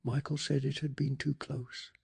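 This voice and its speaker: A man softly narrating a bedtime story in a calm, hushed ASMR style, telling it with deliberate drama. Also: mid-range voice. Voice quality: soft, breathy.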